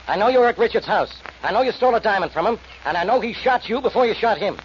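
A gloating, badgering police inspector of 1940s radio. He blusters and accuses at a quick clip in a high, animated male voice. The old recording is muffled and noisy. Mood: accusing, smug.

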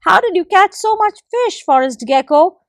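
A woman's voice: very high voice, animated, moderate pace, dry recording, clean studio sound, pitched above the performer's own voice. Woman doing a greedy teasing squirrel. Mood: wheedling. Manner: teasing, wheedling. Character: proud greedy male squirrel hunter.

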